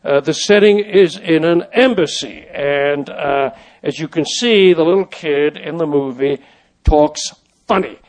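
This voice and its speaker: An older man whose first language is French, telling stories as an interview guest in a halting, rambling way full of restarts. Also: a little high. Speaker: interview guest, former child actor. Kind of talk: conversational storytelling.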